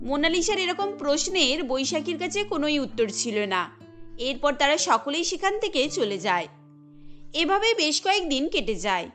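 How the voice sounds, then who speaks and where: High-pitched woman narrating a cartoon story. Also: very animated, moderate pace, fairly bright tone. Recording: music behind the voice.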